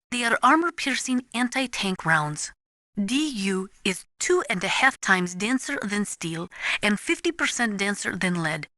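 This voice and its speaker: A woman's voice: mid-range voice, sharp tone, no echo, studio quality. A woman voicing a serious, knowledgeable nuclear weapons analyst in a video game, speaking formally and precisely in scripted, lecturing explanations. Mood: serious, explanatory. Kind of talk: scripted expository game dialogue.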